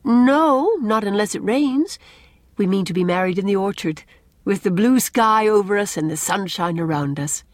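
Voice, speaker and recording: A woman voicing a cheerful, romantic young bride-to-be in an audiobook, expressive and lyrical with a teasing touch. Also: medium pitch, normal speed, no echo, clean studio sound, pitched above the performer's own voice, natural voice. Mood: bright. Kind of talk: audiobook character dialogue.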